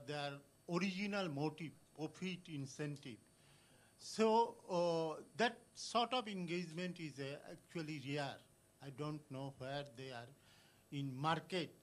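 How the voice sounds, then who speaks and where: Halting Bangladeshi man from the floor. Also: on the high side. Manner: halting, many fillers and restarts. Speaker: audience member at a conference. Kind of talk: conference floor comment. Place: conference hall.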